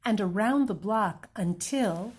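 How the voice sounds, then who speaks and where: A woman reading a children's picture book aloud, slow and gentle, pausing to point out the pictures. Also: medium pitch, expressive, husky. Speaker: read-aloud reader.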